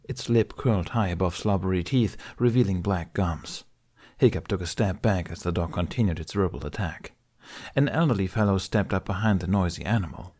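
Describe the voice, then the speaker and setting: A man with a fairly low voice narrates an audiobook. He reads scripted fiction as a storyteller. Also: a little fast, a little mellow.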